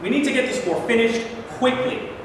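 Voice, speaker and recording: Distant, echoing hall recording. Casting: male actor as blunt, impatient wartime president, high-voiced. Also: animated, fairly quick. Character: irritable, plain-spoken American president, worried about war casualties. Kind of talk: staged reading of a play. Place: live reading in a large echoing room. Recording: very echoey noisy hall, from far off.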